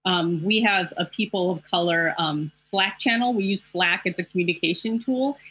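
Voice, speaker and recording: A woman's voice: on the low side, normal speed, husky. Middle-aged woman, muffled call audio. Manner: reflective, candid, many fillers. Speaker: journalist. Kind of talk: panel discussion answer.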